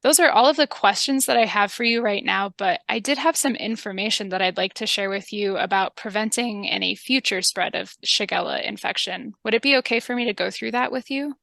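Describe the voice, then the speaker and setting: A woman with a calm, polite and methodical manner, giving instructions in a scripted public health training video. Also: medium pitch, a little fast, vocal fry, few pauses, dry recording. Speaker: public health interviewer and narrator.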